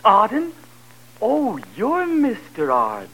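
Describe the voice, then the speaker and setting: An actor playing a prim, pompous hotel clerk with a formal, sardonic manner, in 1940s radio comedy style. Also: male voice, high voice, huge pitch swings, slow pace, sharp tone, husky, exaggerated voice. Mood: arch, insinuating. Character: fussy, prim male hotel clerk, drily disapproving. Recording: noisy, humming old radio broadcast.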